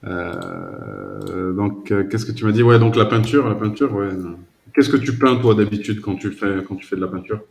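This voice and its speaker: A man's voice: lowish voice, sing-song, normal speed, vocal fry, a little mellow. A man working as a French conversation tutor on a video call, talking casually with an easy, joking and encouraging manner.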